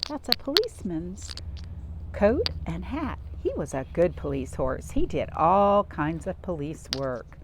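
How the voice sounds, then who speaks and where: Animated woman reading to young children. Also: on the low side, measured pace, slight background noise. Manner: expressive, with dramatic gasps and questions to listeners. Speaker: storytime reader. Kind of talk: animated picture-book read-aloud for young children.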